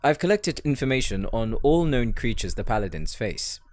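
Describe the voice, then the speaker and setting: A man's voice: medium pitch, very animated, moderate pace, no room sound, close to an everyday voice. Haughty, bookish paladin brother acted by a man. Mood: smug, explanatory. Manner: formal, precise, condescending. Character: proud, bookish male inventor-paladin, haughty elder brother. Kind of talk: audio roleplay acting. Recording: voice over background music.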